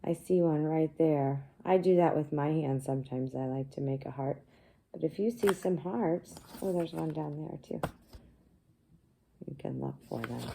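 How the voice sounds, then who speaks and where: A woman teacher reading a picture book aloud to young children, pausing to explain things simply and ask them questions. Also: low-pitched, gravelly, dark tone, a little hiss or hum.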